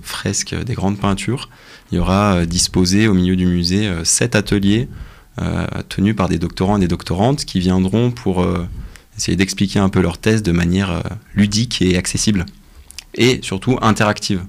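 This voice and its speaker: A young man speaking as a researcher guest in a casual radio interview, explaining things in a halting way with frequent pauses. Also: deep voice, steady tone, creaky. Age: young adult.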